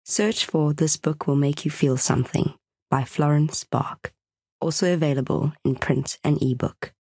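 A woman's voice: deep voice, steady tone, measured pace, a little mellow, breathy edge, no room sound. A woman reading a scripted promotional announcement for an audiobook, with music behind.

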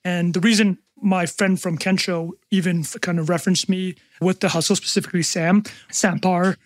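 Canadian man in his thirties, podcast guest; high, fast, wisecracking delivery, recorded close and dry. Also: level tone, no room sound. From North America. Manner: joking, self-deprecating, quick asides. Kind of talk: casual podcast interview.

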